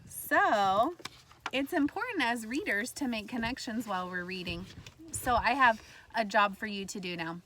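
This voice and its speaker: A woman's voice: fairly high pitch, lively intonation, normal speed, a bit bright, a little hiss or hum. A woman teacher leading a read-aloud lesson for young children, explaining things simply and prompting her listeners. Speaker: elementary school teacher.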